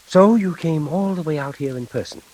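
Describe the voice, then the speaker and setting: An actor playing a patient, reassuring and authoritative prison warden in an old-time radio drama, with a measured, avuncular manner. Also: male voice, a little high, a little mellow, natural voice, rough recording. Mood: businesslike.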